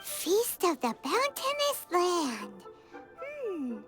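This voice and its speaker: Cheerful little fairy sidekick for a game: squeaky, childlike, extremely high and lively. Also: female voice, extremely slow, over music, exaggerated voice. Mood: cheerful, eager. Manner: perky, refers to self in third person. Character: tiny cheerful floating fairy companion, childlike, food-loving. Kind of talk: video game voice acting.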